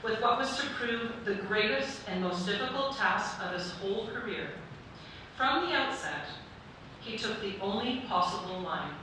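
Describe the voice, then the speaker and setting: A woman's voice: mid-range voice, animated, moderate pace, lightly acted. A woman playing the formal, dramatic storyteller of a historical crime case in a staged radio play. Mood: emphatic. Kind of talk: scripted radio play narration. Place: staged performance in a cultural centre. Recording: echoey hall, distant mic, stage noise.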